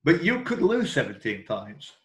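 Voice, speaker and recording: A man's voice: on the high side, huge pitch swings, normal speed. A man hosting an online quiz, reading out questions in a chatty, informal way and breaking off into asides. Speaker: quiz host and question reader. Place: indoor room, online video call. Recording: roomy echo.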